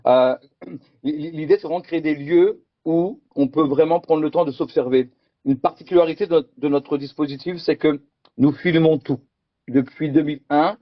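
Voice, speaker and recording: A man's voice: on the high side, steady tone, normal speed, no room sound. Man, middle-aged, from France, conference presenter, hesitant, dry close sound, slightly dull. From France, Picardy. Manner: hesitant, many fillers and repeated words.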